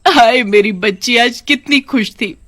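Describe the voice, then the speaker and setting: Woman playing a slow, wailing, grief-stricken cartoon mother. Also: on the high side, fairly bright tone, pitched above the performer's own voice. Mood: grieving. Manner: wailing and lamenting. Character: grieving mother of a lost girl.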